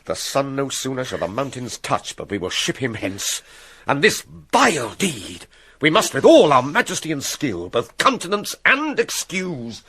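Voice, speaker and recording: A man's voice: mid-range voice, wildly swooping pitch, moderate pace, bright, forceful tone, slightly rough, exaggerated voice. An actor playing a troubled, calculating king with a British accent, grave and urgent in classical radio drama style. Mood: urgent command.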